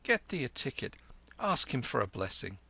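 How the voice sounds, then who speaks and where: A man's voice: mid-range voice, sing-song, normal speed, gravelly, pushed and bright, breathy edge, dry recording, pitched above the performer's own voice, heavily acted. A man reading aloud, voicing a playful, generous young woman who teases in a raised, lilting voice. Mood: teasing. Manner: teasing, lilting.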